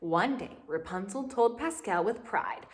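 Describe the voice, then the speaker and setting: A woman's voice: mid-range voice, animated, a little slow. A woman reading a story aloud to young children, expressively and with asides and questions to the listeners.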